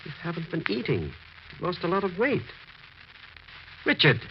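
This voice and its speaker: An actor playing a concerned, stern doctor who reasons earnestly and insistently in a 1940s radio horror drama. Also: male voice, a little high, wildly swooping pitch, moderate pace, vocal fry, full character voice. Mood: urgent, stern concern. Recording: muffled, noisy old radio broadcast.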